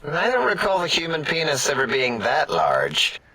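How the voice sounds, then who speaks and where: A man's voice: fairly high pitch, huge pitch swings, moderate pace, bright, forceful tone, slight background noise, exaggerated voice. A man voicing a nervous, skeptical scientist brain in a machine in a video game, with a whiny, doubtful manner. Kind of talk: theatrical comic video game acting.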